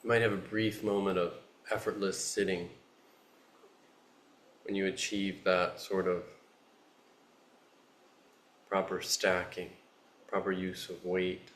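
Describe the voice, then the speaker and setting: A man leading a guided meditation as a teacher, speaking in a soothing, unhurried manner with long pauses. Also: low-pitched, level tone, fairly bright tone. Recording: roomy, echoing space.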